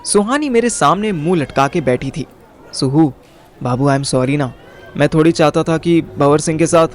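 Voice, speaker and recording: A man's voice: fairly high pitch, normal speed. A young man narrating an audio story in the first person in casual, slangy Hinglish, acting out his own dialogue. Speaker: narrator and lead character.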